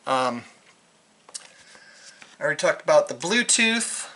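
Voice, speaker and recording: An American man chats through an unscripted product review. His voice sits a little above average in pitch and has a sharp, bright edge. He wanders into joking tangents as he goes. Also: very animated, a little slow. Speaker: vlogger reviewing a radio. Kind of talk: casual unscripted product review, rambling chat. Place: indoors in a concrete-walled bunker.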